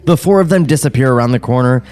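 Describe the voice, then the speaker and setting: A man narrates a screenplay for a podcast in a medium-pitched voice. He reads stage directions and slips into casual commentary. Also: fast talker, darkish tone, faint music behind. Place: podcast studio.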